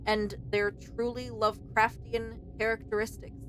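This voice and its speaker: A woman's voice: on the high side, level tone, normal speed, fairly bright tone, a little hiss or hum, low-quality recording. A young woman doing casual let's play commentary, reacting informally and reading on-screen text aloud. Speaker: streamer playing and reacting to a game.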